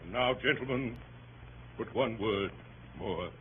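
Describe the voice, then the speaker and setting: An actor playing a pompous, melodramatic barrister addressing a jury in a theatrical, grandiose 1930s radio drama. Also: male voice, fairly low pitch. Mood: insistent, accusing. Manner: theatrical, grandiose, emphatic oratory with dramatic pauses. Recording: dull, noisy 1930s radio broadcast.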